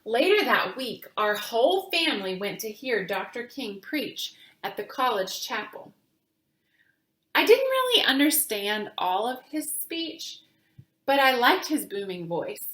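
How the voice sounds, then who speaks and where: A woman reading a children's book aloud in a deliberate, teacherly way, pausing to speak to young listeners. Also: on the high side, very animated, a bit bright, roomy sound. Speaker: reader of children's books for a virtual summer camp. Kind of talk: read-aloud storytelling with asides to children.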